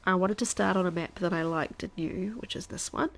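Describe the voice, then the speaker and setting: A woman in her thirties, a stuffy-nosed streamer chatting casually while she plays, thinking aloud and now and then dropping to a quiet whisper. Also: lowish voice, a little fast. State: congested, stuffy nose. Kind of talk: casual live-stream chat while gaming.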